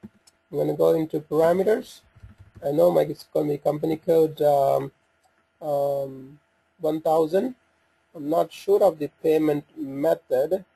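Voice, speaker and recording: A man giving an unscripted software walkthrough, talking through each step in a halting way as he thinks aloud. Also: a little high, fairly even intonation, gravelly, breathy edge, low-quality recording. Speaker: software demo presenter.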